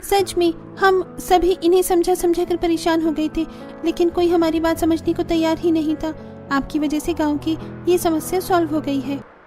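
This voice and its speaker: A woman playing an earnest, expressive village daughter-in-law in a cartoon story. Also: very high voice, normal speed, faint music behind, pitched above the performer's own voice. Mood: grateful, relieved. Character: village daughters-in-law, fed up yet grateful women.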